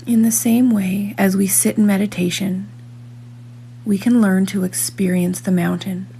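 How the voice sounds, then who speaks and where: A woman reading a scripted guided meditation in a calm, measured manner, leaving long pauses between phrases. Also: mid-range voice, gravelly, slight background noise.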